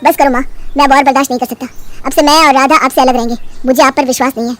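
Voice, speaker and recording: A firm young husband scolds and lectures, voiced by a woman who drops her pitch. The voice stays quite high, in a cartoon storybook manner. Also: fairly even intonation, rapid-fire, natural voice. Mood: stern.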